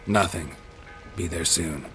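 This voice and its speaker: Sardonic fantasy game hunter, extremely low pitch, gravelly, clipped deadpan delivery. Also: male voice, slow, soft background music. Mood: dry, terse. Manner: terse, deadpan. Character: gruff, sardonic male monster hunter, weary and unbothered. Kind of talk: video game dialogue.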